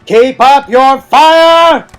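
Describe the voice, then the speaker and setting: A man's voice: extremely high pitch, very slow, fairly bright tone, soft background music, pitched above the performer's own voice, heavily acted. A man playing a stern, concerned male squad leader with an authoritative military manner. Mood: shouting orders.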